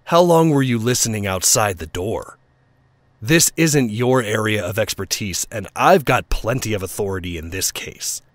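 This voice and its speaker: A brusque, condescending male official from an audio drama voiced by an actor. His voice is low and highly varied in pitch, full of hard-line arrogance, and sounds dry and close. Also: animated, moderate pace, no room sound, close to an everyday voice. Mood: condescending, scornful.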